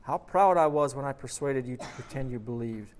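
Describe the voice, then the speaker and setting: A man's voice: mid-range voice, fairly quick, slight background noise, close to an everyday voice. Man playing a gloating demon, cruel, possessive, mocking. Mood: taunting. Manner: mocking, taunting, shrieking.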